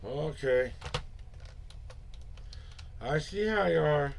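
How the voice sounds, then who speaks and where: Man, hoarse, gravelly fry, somewhat high pitch, casual livestream host, chatty asides. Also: lively intonation, background noise. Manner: loose and chatty, mutters asides to himself; upbeat, drawn-out greetings to viewers. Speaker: tarot reader and livestream host.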